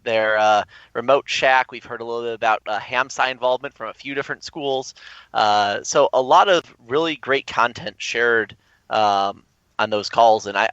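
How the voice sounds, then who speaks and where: A man's voice: medium pitch, a bit bright, no echo. A man speaking as an interview guest, explaining things casually over a slightly muffled remote connection. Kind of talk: casual, informative conversation. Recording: slightly dull remote call audio.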